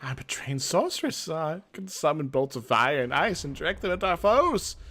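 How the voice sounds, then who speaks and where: Male reader as young sorceress, video game, proud, impatient, battle-hungry, insistent, lively swooping pitch. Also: normal speed, heavily acted. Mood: insistent, competitive.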